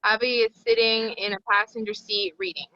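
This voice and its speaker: A woman narrates screenplay directions at a table read. Her voice is fairly high and bright, captured dry with a slightly dull, lo-fi sound. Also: little pitch movement, brisk, no echo.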